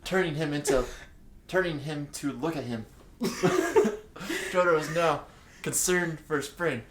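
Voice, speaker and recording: A man's voice: on the high side, very animated, unhurried. A man reading fan fiction aloud for laughs, playful and often tripping over the words. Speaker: reader, co-host. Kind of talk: comedic read-aloud of fan fiction with joking asides. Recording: roomy echo, faint background noise.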